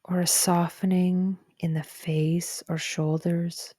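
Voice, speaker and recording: A woman narrating a guided meditation in a gentle, calm and soothing way, with soft breathy phrase endings. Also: on the low side, slow, no room sound, clean studio sound. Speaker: psychologist guiding a meditation.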